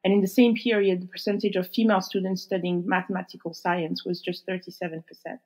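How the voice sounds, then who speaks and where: A woman hosting an interview podcast, presenting in a measured, clear way. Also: lowish voice, level tone, darkish tone, rough recording. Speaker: podcast host.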